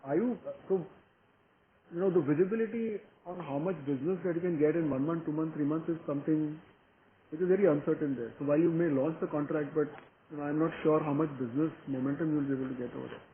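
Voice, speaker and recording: A man with an Indian accent putting questions and comments as an investor in a meeting, in halting, broken phrases. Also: a little high. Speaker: investor or analyst asking questions. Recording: thin phone-like sound with background noise.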